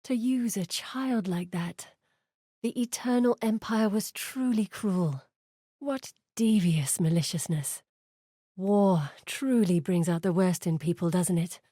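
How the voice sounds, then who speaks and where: Video game scholar heroine, earnest, curious, thoughtful, articulate, dramatic delivery, medium pitch, dry recording. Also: female voice, slow pace, warm tone, no room sound. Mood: saddened, appalled. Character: earnest, curious woman scholar guiding an exile through memories. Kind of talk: video game character voice acting.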